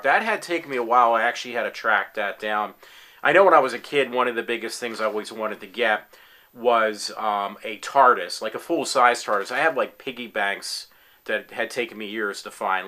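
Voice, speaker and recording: A man's voice: medium pitch, sharp tone. A man in his forties talking casually in his room at home, rambling with many fillers and restarts as an eager fan. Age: 40s. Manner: enthusiastic fan. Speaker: applicant in a tryout video. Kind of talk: casual self-recorded talk.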